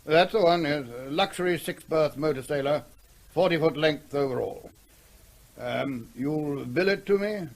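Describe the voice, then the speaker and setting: A flustered, blustering middle-aged major in an old radio drama. His voice is gravelly and sits fairly high. He talks like a man trying to keep up appearances. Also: unhurried. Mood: businesslike. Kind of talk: 1970s radio drama acting.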